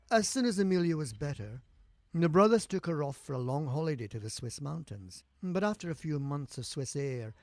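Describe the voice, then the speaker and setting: A man's voice: a little high, darkish tone. A man narrating a scripted gothic horror tale in a theatrical, suspenseful storytelling manner with swelling emphasis. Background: music.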